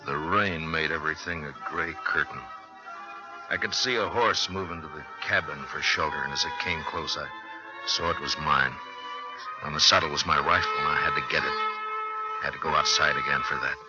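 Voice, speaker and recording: An actor playing a stern, weary American marshal in a 1950s radio western, speaking and narrating with grave, terse authority. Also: male voice, rumbling low, normal speed. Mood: somber. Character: stern, weary male frontier marshal, gruffly commanding. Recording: dull 1950s radio recording, music behind.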